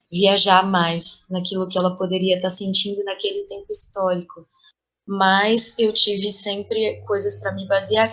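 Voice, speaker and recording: A woman's voice: medium pitch, low-quality recording. A woman with a Brazilian accent speaking reflectively as an interview guest about creative work, thoughtful and searching for words as she goes.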